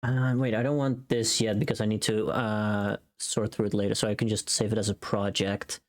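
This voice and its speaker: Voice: medium pitch, flat delivery, moderate pace. A livestream host chatting casually, rambling and thinking aloud to themselves.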